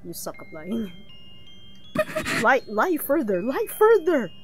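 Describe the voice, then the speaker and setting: A woman's voice: sits high, wildly swooping pitch, slow. A woman giving casual, wry and teasing commentary as she streams a game playthrough. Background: game music.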